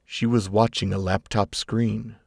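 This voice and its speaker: A man with a gravelly voice reads a dramatic story in a slow, grave, deliberate manner as narrator. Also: on the low side, little pitch movement, no room sound.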